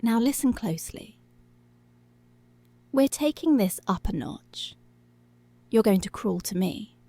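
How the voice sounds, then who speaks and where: A woman's voice: a little high, expressive, mellow, no echo, exaggerated voice. A woman playing a dominant, teasing policewoman in an intimate, half-whispered ASMR roleplay. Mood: domineering. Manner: slow, breathy half-whispered, possessive teasing.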